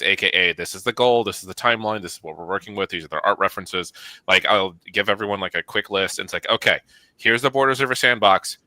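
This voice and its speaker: A man's voice: sits low, bright, forceful tone, no echo. A middle-aged man explaining his work as an interview guest, informal and enthusiastic. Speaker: interview guest, studio manager. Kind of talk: casual explanatory chat.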